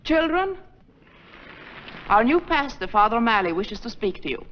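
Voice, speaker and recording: An actress playing an earnest, gently formal nun who runs a school, in a 1940s film. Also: female voice, on the high side, sing-song, normal speed, background noise. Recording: dull lo-fi 1940s film soundtrack.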